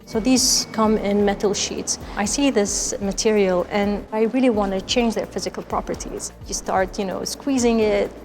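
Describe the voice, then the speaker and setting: A woman's voice: mid-range voice, steady tone, moderate pace, few pauses, darkish tone. Woman artist talking in a reverberant hall. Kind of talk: casual interview. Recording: long hall reverb, faint music.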